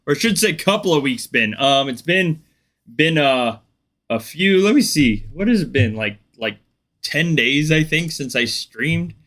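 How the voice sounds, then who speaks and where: A man's voice: fairly high pitch, unhurried. A male gaming streamer, still congested from the flu, chatting casually and unhurriedly with viewers on a live stream, with lots of fillers. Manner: relaxed, chatty, many fillers.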